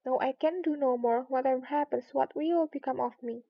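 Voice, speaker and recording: A woman plays a curious little girl from a storybook, high in pitch. She is polite and wistful, musing earnestly to herself. The sound is close and dry, a little muffled. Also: moderate pace, creaky, no room sound. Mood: worried, regretful.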